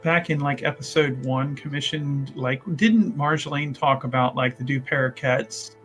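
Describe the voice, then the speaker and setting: A man's voice: medium pitch, steady tone, normal speed, a bit bright, faint music behind. A man playing a tabletop game, asking questions and rambling with many restarts. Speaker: player. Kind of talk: casual tabletop game chat.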